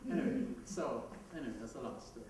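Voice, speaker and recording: A man's voice: mid-range voice, expressive, fast talker. Jamaican man speaking in an echoey hall. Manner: conversational, laughs at his own asides. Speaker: conference speaker. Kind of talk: conference talk. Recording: echoey hall, mic heard from a distance, background noise.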